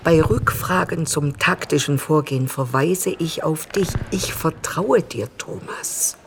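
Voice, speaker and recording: An actress playing a brusque, busy state premier with a Swabian accent in a radio drama. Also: female voice, low-pitched, unhurried, noisy background. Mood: alarmed, calculating.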